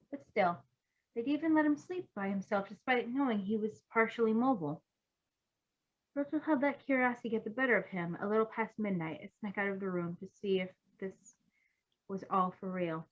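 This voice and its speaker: A woman's voice: on the high side, highs cut off. A woman reading fan fiction aloud as an amateur narrator, expressively and informally. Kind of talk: expressive story narration with casual asides.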